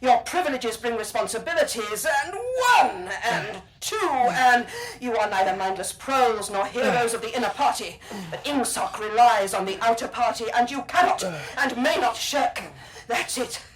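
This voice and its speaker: A woman playing a zealous, bossy exercise instructor of an authoritarian state in a radio drama, brisk and hectoring. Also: fairly high pitch, expressive, close to an everyday voice, low-quality recording. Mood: scolding zeal. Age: 30s.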